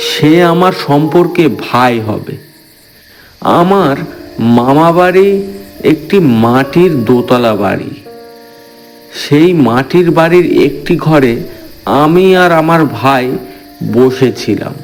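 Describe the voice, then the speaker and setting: Horror cartoon: stubborn old village storyteller, played by a man, higher male pitch, music bed. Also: expressive, slow pace, pauses often, rough recording. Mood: reminiscing. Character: stubborn, proud old village man telling ghost stories. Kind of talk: Bengali horror cartoon dialogue.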